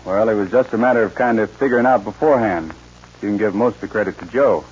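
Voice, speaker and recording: An actor playing a bold, resolute young American cowboy with folksy phrasing, in a 1940s radio western. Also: male voice, fairly low pitch, fast, a little mellow, husky. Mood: cool, plain. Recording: muffled, hissy 1940s radio recording.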